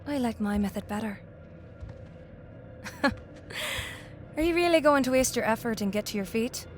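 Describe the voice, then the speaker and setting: A cruel, ageless enchantress, acted by a woman in an audio drama. She speaks slowly in a fairly high voice, gloating and taunting with theatrical relish, as music plays faintly behind her. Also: expressive. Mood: smug, menacing. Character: gloating, cruel immortal enchantress who transforms people into swans.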